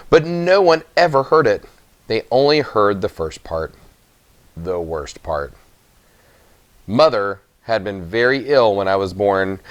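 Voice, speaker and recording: A man giving an expressive, dramatic children's audiobook narration, with emphatic pauses. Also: mid-range voice, no room sound. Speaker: story reader.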